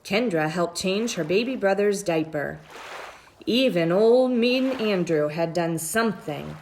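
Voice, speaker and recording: A woman reads a children's story aloud at a slow, measured pace. Her pitch moves up and down with lots of expression as she draws out phrases. There is faint noise on the recording. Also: animated, darkish tone. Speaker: storybook reader.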